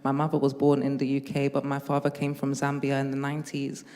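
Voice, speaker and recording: A woman's voice: a bass voice, completely flat delivery, a little fast, dark tone. A woman from London with a London accent, speaking reflectively as a panelist. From London, England. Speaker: panel speaker, peacebuilding fellow. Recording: reverberant hall.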